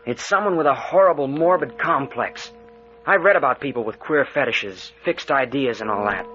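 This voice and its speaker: A man's voice: medium pitch, normal speed, a bit bright, natural voice. An actor playing an earnest, jittery young American man, intense and protective, in an old radio thriller. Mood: uneasy, earnest. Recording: dull, slightly noisy old radio recording.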